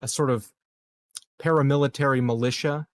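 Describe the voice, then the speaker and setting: A man playing a tabletop game, talking casually and informally in halting phrases. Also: medium pitch, fairly even intonation, no room sound. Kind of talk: casual chat.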